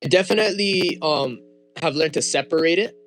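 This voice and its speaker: A young man with a Hawaiian accent, a guest in a relaxed interview, talking loosely with slang and frequent restarts. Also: on the high side, fairly quick, faint music behind, low-quality recording. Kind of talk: casual conversational interview.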